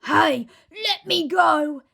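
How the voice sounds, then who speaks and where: A woman acts out an enormous male crocodile from a children's storybook. He is greedy and sneaky, lingering slowly over his words with gloating relish. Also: very high voice, animated, gravelly, a bit bright, voice pushed up, exaggerated voice. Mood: outraged.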